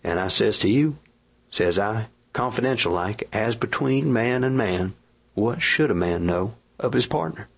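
A man doing a rough rustic frontier miner. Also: on the low side, expressive, a little slow, heavily acted. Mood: earnest, pleading. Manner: rustic frontier dialect, folksy and rambling. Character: rough earnest male gold miner, awkward and plain-spoken.

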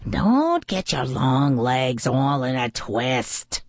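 Woman doing a sly, insolent weasel villain, drawn-out. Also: rumbling low, very animated, darkish tone, no room sound, voice pushed down, full character voice. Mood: dismissive. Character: sneaky, greedy, insolent male weasel villain.

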